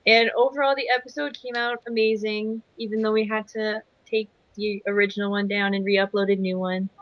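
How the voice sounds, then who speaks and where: Even-toned young woman, dull lo-fi sound. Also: mid-range voice, fairly quick, dry recording. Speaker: amateur voice actor. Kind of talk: casual review. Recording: dull lo-fi voice-chat mic.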